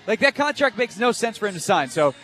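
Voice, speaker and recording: A man hosting a live sports radio show at an event, talking in a fast, animated and emphatic way. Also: sits high, soft background music.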